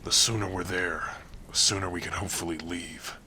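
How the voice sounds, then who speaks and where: A man acts out a protective orc lover in an ASMR roleplay. The voice is low and forceful, yet hushed and tender, speaking closely to one listener with halting earnestness. Faint rain noise sits behind it. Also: fairly even intonation. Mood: calm, gentle. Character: protective male orc warrior lover, gruff but tender.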